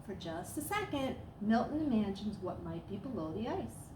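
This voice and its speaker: A woman reading a children's storybook aloud as a storyteller, with expressive, dramatic emphasis. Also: medium pitch, moderate pace. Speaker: staff storyteller. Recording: roomy echo, clear background noise.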